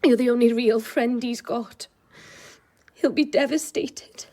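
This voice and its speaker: A woman's voice: fairly high pitch, steady tone, measured pace, dark tone, lightly acted. A woman acting in a radio drama as a troubled, lonely young wife, confiding and vulnerable. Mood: regretful.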